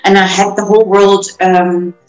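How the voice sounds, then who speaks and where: A woman from the Netherlands, a guest telling her life story in an animated, self-correcting way. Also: medium pitch, normal speed. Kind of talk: casual interview storytelling. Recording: roomy echo, faint music.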